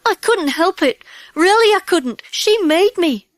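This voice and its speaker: Excitable high-pitched British schoolchild. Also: female voice, unhurried, dry recording. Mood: miserable. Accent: British English. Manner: exclamatory, old-fashioned schoolchild talk. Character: earnest, excitable British schoolchildren in a storybook gang.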